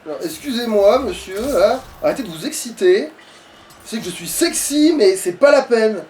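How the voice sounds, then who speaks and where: A man's voice: high-pitched, animated, moderate pace, a little mellow. A man chatting casually as he plays through a video game, often swearing and joking crudely. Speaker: let's play gamer playing. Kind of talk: casual gaming chat with a co-commentator. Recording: distant mic, faint background noise.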